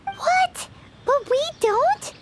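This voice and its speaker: Tiny childlike sprite, extremely high squeaky voice, very animated, chirpy game companion. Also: female voice, drawn-out words, heavy background noise, full character voice. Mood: perky, puzzled. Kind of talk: video game voice acting. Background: loud music.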